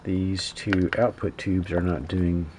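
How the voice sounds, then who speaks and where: Low-voiced man, slow and halting. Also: steady tone, background noise. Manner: hesitant, halting, musing to himself. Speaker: hobbyist radio repairer narrating his work. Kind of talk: casual, thinking-aloud repair narration. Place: indoor electronics workbench.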